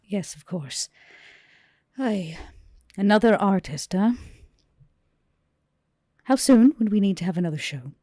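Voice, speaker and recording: A woman playing a stern, businesslike female vampire club boss in a measured, deadpan tabletop game performance. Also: mid-range voice, no room sound, studio quality, lightly acted. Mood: cool, probing.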